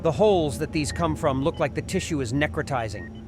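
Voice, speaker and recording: Male hospital doctor acted by a man, horror radio play: fairly high voice, clipped, authoritative, music bed behind. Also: animated, brisk, lightly acted. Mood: focused, commanding. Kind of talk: horror audio drama acting.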